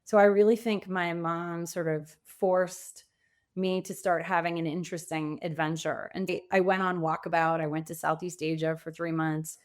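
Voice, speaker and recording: Lower-pitched middle-aged woman, emphatic talker. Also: flat delivery, moderate pace, smooth. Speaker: podcast guest, pivot coach. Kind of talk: conversational interview answers.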